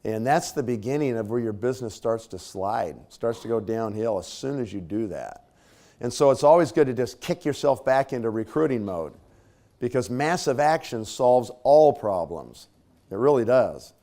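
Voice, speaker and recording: A man with a slightly scratchy voice, clearing his throat now and then, gives a conversational leadership talk to a live audience. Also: mid-range voice, animated, moderate pace, mellow. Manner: conversational, casual fillers and tag questions. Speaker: speaker at a leadership training.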